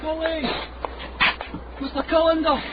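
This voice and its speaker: Very high-pitched urgent town messenger. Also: male voice, little pitch movement, a little slow. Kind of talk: radio drama acting. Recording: muffled radio recording, noisy crowd.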